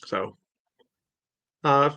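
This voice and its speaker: A man co-hosting a sports podcast, reasoning aloud in a measured, hedging, conversational way. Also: bright, forceful tone, no echo, muffled recording. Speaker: podcast co-host. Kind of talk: casual sports discussion.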